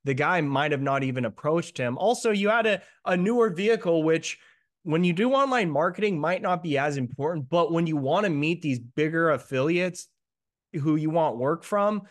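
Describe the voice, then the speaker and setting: A man's voice: fairly high pitch, animated. A man in his thirties hosting an interview over a video call, upbeat and encouraging as he sums up his guest's points. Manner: upbeat, encouraging, quick recaps. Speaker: host, limo marketing coach. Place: room at home. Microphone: earbuds on a video call.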